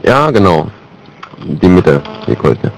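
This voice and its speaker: A man with a lowish voice talks casually and a little haltingly, keeping a straight face as a prank caller. There is faint noise on the homemade recording. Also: big pitch swings, gravelly. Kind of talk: prank phone call, casual chat. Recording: noisy amateur recording of phone prank.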